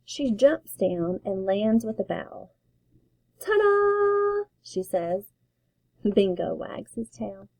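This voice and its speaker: A woman teacher reading a children's picture book aloud, with slow, expressive storytelling. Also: mid-range voice, creaky, breathy edge, no room sound, rough recording.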